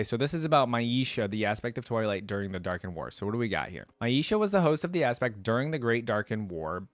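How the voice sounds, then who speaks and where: A man hosting a reaction video, reading aloud and adding casual, curious commentary as he thinks out loud. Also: mid-range voice, dry recording.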